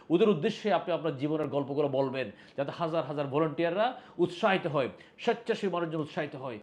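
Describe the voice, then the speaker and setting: A man with a Bangladeshi accent giving a heartfelt, solemn tribute and appeal to viewers, emphatic and repeating phrases for weight. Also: a little high. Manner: emphatic, solemn, with rhetorical repetition. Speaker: social volunteer addressing video viewers.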